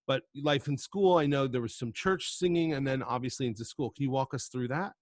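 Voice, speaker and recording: A man's voice: medium pitch, fairly quick, darkish tone. A middle-aged man hosting an interview show from home at a podcast microphone, jokey and self-deprecating. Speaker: host. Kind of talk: casual interview chat.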